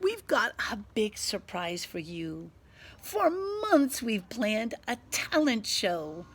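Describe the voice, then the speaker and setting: Woman doing a squealing, excitable little mole. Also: mid-range voice, slow pace, breathy edge, exaggerated voice. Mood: excited, welcoming.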